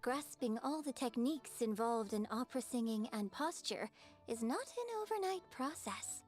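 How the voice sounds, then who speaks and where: An actress playing a poised, exacting opera performer in a video game, instructing a pupil in a formal, theatrical way. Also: female voice, fairly high pitch, expressive, normal speed, soft background music, natural voice. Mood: earnest, lecturing. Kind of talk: scripted video game voice acting.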